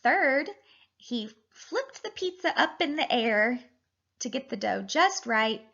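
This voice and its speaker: High-pitched woman reading to children. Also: gravelly, muffled recording. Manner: animated, deliberate, addressing children directly. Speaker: teacher reading a picture book aloud to children. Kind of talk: expressive children's read-aloud and lesson.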